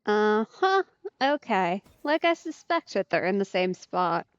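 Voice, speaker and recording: A woman's voice: mid-range voice, big pitch swings, takes it slowly, clear, resonant tone, dry recording, lo-fi sound. A woman giving casual live commentary as she plays a video game. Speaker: streamer, host of a game let's play. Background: video game music.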